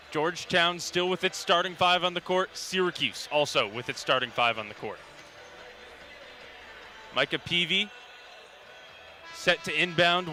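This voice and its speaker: A young man calling a game live as play-by-play announcer, in clipped, descriptive phrases. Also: on the high side. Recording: loud crowd and arena noise.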